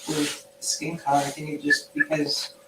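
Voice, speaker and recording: A woman's voice: low-pitched, completely flat delivery, normal speed. A woman in a casual chat, talking in a plain, matter-of-fact way. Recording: distant, echoey, loud background noise.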